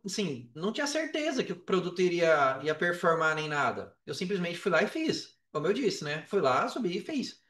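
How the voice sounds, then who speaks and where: A Brazilian man telling his story casually as a podcast guest, in an animated, slangy way. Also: high-pitched. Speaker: podcast guest, affiliate marketer. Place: indoors on an online call. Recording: roomy echo on a call.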